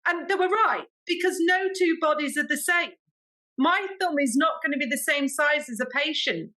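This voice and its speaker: A woman with an English accent, a podcast guest telling stories in a chatty, expressive way and acting out quoted dialogue. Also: sits high, normal speed. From Britain. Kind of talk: animated storytelling in casual interview.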